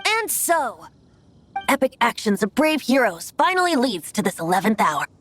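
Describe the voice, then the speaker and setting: A woman's voice: a little high, sing-song, normal speed, fairly bright tone, soft background music. A woman voicing a cheerful, youthful male bard in game cutscene dialogue, lilting and theatrical. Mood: solemn.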